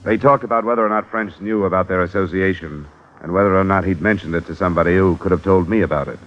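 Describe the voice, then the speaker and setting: A hard-boiled investigator who narrates and questions in a terse, matter-of-fact way. His voice is very low, and it comes through a muffled old radio broadcast. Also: fast talker, fairly bright tone. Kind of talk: 1950s radio drama narration.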